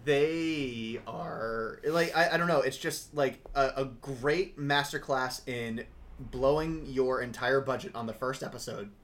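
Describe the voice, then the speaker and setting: A young man co-hosting a casual podcast, rambling through an animated critique with lots of fillers. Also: mid-range voice, measured pace. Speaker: podcast co-host. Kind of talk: casual anime review chat.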